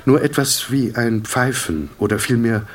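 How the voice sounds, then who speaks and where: A man's voice: medium pitch, lively intonation, measured pace, far from the mic. A man narrating a literary audio drama from a script.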